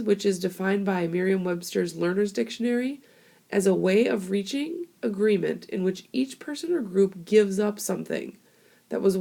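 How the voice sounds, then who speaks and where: A woman's voice: medium pitch, creaky, mellow. A young woman teacher with a cold, giving a casual, chatty recorded history lesson and explaining with fillers into a tablet microphone. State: hoarse from a cold. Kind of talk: classroom screencast lesson.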